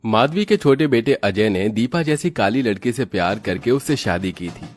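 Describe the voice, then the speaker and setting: A man narrating an animated moral tale for children in a scripted storytelling style. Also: medium pitch, normal speed, slight background noise.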